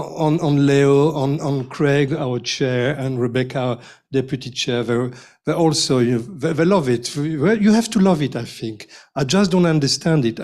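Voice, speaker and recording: A man's voice: mid-range voice, darkish tone. A man speaking on a panel, hesitant and laughing at himself as he talks. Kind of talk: casual panel chat.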